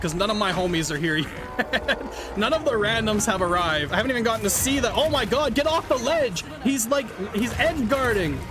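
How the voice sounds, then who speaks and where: High-voiced young man with a congested voice. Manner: chatty, rambling, laughs often. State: congested from allergies. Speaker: gaming video host. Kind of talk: casual gameplay commentary.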